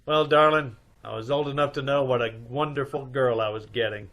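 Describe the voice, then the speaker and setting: A man's voice: medium pitch, brisk, a bit bright, natural voice. A man playing a suave, affectionate detective husband in an old-time radio play, soothing and sweet-talking. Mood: tender. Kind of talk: old-time radio comedy-mystery acting.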